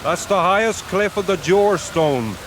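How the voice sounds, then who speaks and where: An actor playing a grim, knowing huntsman and tracker in a period audio drama, guarded, measured and ominous. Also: high-pitched, expressive, background noise.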